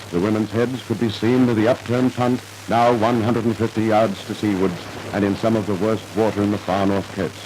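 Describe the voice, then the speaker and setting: A man's voice: fairly low pitch, noisy background. A man narrating a scripted 1950s radio drama in a formal, dramatic storytelling manner, on an old radio recording.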